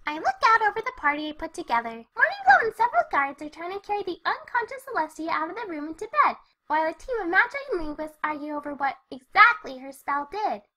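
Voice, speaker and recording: Excitable party pony storyteller: squeaky high, lively swings, chatty and girlish, fan fiction reading. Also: fast talker, hardly any pauses, full character voice. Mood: chatty, bubbly. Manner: bubbly, chatty. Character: perky excitable young party pony mare, narrating. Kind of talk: first-person fan fiction narration.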